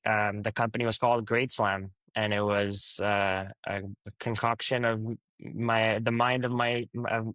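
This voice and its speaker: A man's voice: on the low side, fairly bright tone, dry recording, poor audio. A man in his thirties from Canada, Italian his first language, answering interview questions as a startup founder with many fillers and restarts.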